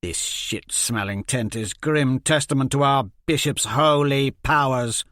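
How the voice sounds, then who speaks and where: A man's voice: mid-range voice, measured pace, a bit bright, dry recording, clean studio sound, pitched above the performer's own voice, natural voice. A man reading a story, voicing a bitter, foul-mouthed teenage boy who spits insults. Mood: bitter ranting. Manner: spitting, sneering insults.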